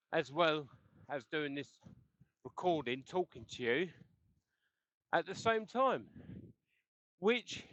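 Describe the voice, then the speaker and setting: Man, slightly high voice, chatty cyclist talking through a dull headset mic on the road. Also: a bit bright, dry recording. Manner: rambling, chatty, chuckles often. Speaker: cycling vlogger. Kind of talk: casual chat while riding. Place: outdoors on a bike on the road. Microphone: helmet intercom headset mic.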